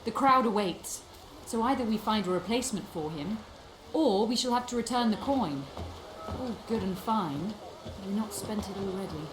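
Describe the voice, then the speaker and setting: A woman voicing a brisk, sly female circus leader in a video game, theatrical, haughty and sharp-witted. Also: mid-range voice, animated, background noise, close to an everyday voice. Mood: shrewd, businesslike. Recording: echoey game scene with music.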